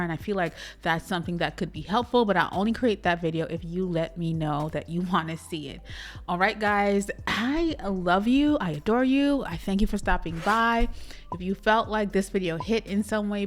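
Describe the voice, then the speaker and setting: A woman from Trinidad and Tobago with a Trinidadian accent, talking to camera in a casual, encouraging advice vlog for her channel. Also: on the low side. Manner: chatty, encouraging, talks straight to the viewer. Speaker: YouTuber and business coach.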